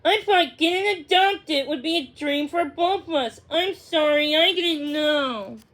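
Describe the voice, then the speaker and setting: Storybook casting: caring female dog, read by a woman, high-pitched, gentle, earnest, quick to understand her friend. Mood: apologetic.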